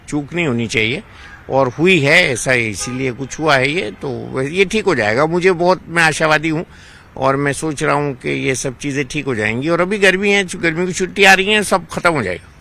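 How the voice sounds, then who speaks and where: A man's voice: a little high, moderate pace, gravelly, sharp tone. A man, a doctor, explaining his advice in a news interview. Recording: noisy location interview, faint ambience.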